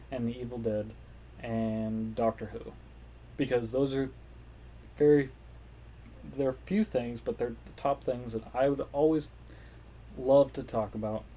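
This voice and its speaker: A man's voice: medium pitch, dark tone, rough recording. A young man hosting his own podcast alone, rambling in a casual, self-deprecating way and swearing freely. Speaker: podcast host. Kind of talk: casual solo podcast monologue. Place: home room, playing a video game.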